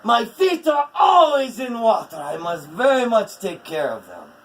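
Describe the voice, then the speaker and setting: Vain sea god bragging, high and bouncy guy doing the voice. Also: very animated, a little slow, a bit bright, recorded from a distance, exaggerated voice, rough recording. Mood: boastful. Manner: boastful.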